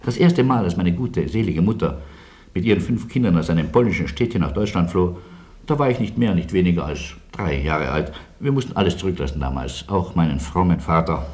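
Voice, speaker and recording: Deep-voiced, ironic refugee gentleman. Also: expressive, fairly quick. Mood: wry, courteous. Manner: ironic, courteous, storytelling. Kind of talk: German radio drama acting.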